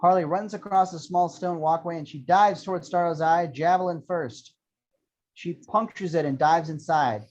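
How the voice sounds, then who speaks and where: A man's voice: fairly high pitch, moderate pace, a little mellow. A man reading screenplay action lines as scripted narration at an amateur table read. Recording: dull lo-fi sound.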